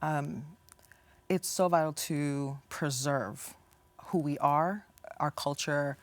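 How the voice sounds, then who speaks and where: Earnest low-voiced woman guest. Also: fairly even intonation, takes it slowly, dry recording. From United States. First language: English. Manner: earnest, passionate, stops and restarts phrases. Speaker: community organization founder, guest. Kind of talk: studio interview.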